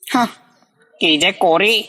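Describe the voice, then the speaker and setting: A sly, hungry little cartoon fox, played by a woman, with a forceful, bright voice at an ordinary height. It fawns on the powerful and mutters resentfully to itself, always the scheming underdog. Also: mid-range voice, takes it slowly. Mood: gloomy self-pity. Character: small, hungry, cunning underdog fox, servile yet resentful. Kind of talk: scripted cartoon dialogue.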